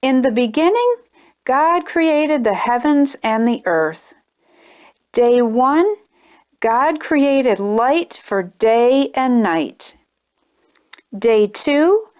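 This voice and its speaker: A woman reading as a gentle, measured mother. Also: a little high, expressive. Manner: slow, measured recital. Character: gentle mother reading scripture to her child.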